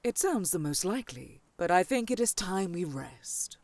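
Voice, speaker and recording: Woman acting a measured, caring fantasy aunt. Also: fairly low pitch, very animated, a little mellow, dry recording. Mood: firm, fond. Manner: measured, formal, solemn. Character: caring, authoritative older scholarly aunt of a princess. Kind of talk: audio roleplay drama acting.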